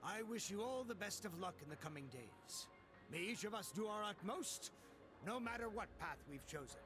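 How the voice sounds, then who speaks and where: An actor playing a polished, courteous diplomat in a video game, formal and articulate. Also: male voice, high-pitched, animated, moderate pace, music in the background. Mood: composed. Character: polished, courteous male delegate, coolly diplomatic.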